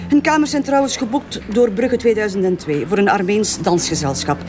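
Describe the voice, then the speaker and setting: An actress playing a snippy, officious Flemish hotel receptionist in a radio drama, speaking curtly. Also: female voice, mid-range voice, a little fast, over music, lightly acted. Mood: guarded, impatient. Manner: curt, officious. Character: brisk, snippy female hotel head receptionist. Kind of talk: naturalistic radio drama acting.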